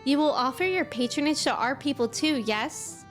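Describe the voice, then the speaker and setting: A woman voicing a proud fae creature from a game, reading its dialogue in a formal, measured, old-fashioned way. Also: sits high, faint music behind, close to an everyday voice. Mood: solemn, formal.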